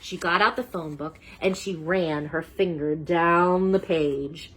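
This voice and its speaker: A woman storyteller reading a children's book aloud in an animated style. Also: fairly low pitch, takes it slowly, a little hiss or hum, rough recording.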